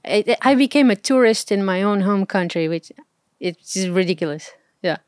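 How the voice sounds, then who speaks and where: A woman in her thirties from Portugal with a Portuguese accent, a travel blogger chatting as an interview guest, soft-spoken and hesitant, laughing often. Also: mid-range voice, animated, moderate pace, dry recording, studio quality. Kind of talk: casual interview chat.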